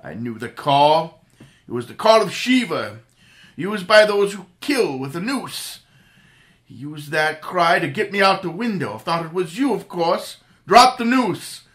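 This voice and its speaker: A man acting a calm, commanding playboy crime-fighter in a 1930s-style radio drama reenactment. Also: a little high, a little slow. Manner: cool, commanding, reassuring.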